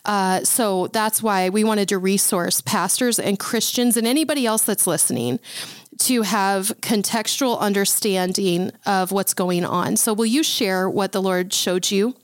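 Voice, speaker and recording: A woman's voice: medium pitch, a little slow, no room sound. Emphatic woman podcast host, dry sound. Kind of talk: podcast interview.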